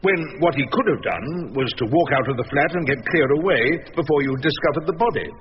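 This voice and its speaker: An actor playing a wry, stubborn older English defence barrister in a radio drama, speaking in a droll, theatrical, measured way. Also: male voice, a little high, lively intonation, lightly acted. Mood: probing, sardonic. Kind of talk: radio drama narration and courtroom acting. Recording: dull radio recording, faint noise, reverberant courtroom.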